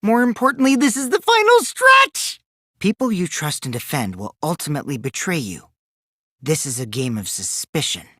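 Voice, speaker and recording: A mischievous teenage boy from a video game, with wildly animated pitch and a close, dry sound. He taunts in a childish singsong and swings theatrically between glee and cool, sly scheming. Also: moderate pace, dry recording, full character voice. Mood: gleeful. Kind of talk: English video game character voice acting.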